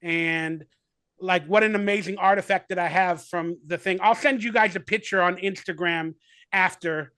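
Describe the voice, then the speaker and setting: A man's voice: high voice, steady tone, normal speed, bright, forceful tone, dry recording, clean studio sound. A man in his forties, a guest actor on a video call, talking in a rambling, enthusiastic way full of fillers. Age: 40s. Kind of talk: casual remote interview.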